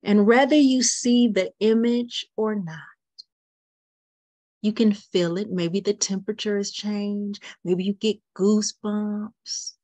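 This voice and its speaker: A woman leading a guided meditation, speaking softly and repeating phrases, often dropping into a whisper. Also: medium pitch, steady tone, no room sound. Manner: slow soothing guidance with soft whispered repetitions.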